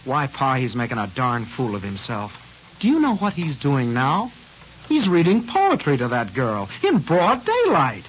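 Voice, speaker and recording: An actor playing a self-important, indignant college-age elder brother, complaining in old radio drama style. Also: male voice, mid-range voice, huge pitch swings, unhurried. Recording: muffled, hissy vintage radio broadcast.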